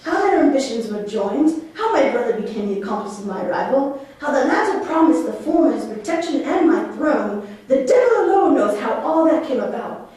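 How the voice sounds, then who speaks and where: Exiled duke and sorcerer, puppet theatre, played by a woman: imperious, theatrical, fairly high voice, heavy room echo. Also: very animated, normal speed, a little mellow. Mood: grave, bitter. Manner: theatrical, commanding, declamatory. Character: proud, imperious male exiled duke and magician, a father. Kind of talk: stage puppet-play acting. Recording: echoey room, distant microphone.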